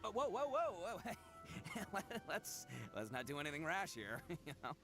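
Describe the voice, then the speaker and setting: A man's voice: sits high, huge pitch swings, normal speed, exaggerated voice. An actor playing a boisterous, boastful young oni in an anime game, swaggering and slangy in his delivery. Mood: awkward. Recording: game music behind.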